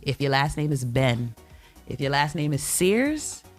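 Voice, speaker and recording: A woman's voice: a bass voice, sing-song, a little slow. A woman co-hosting a casual live talk show, speaking emphatically and with firm opinions. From Guyana or of Guyanese family. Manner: emphatic, opinionated, repeats points firmly. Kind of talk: casual debate with co-host and live commenters. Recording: music playing behind the talk.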